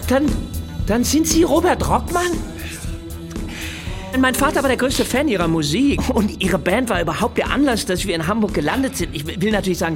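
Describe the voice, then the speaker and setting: A prissy, formal club co-owner in a radio drama. He phrases everything precisely and fussily, with loud music playing behind him. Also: sits high. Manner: precise, formal, fussy wording. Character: prissy sardonic male club co-owner, turns stammering starstruck fan.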